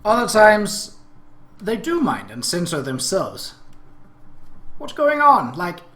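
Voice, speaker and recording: A man's voice: high-pitched, huge pitch swings, slow, a bit bright. A man hosting a visual novel playthrough, giving narration and commentary in a room. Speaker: gaming video host. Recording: roomy echo, clear background noise.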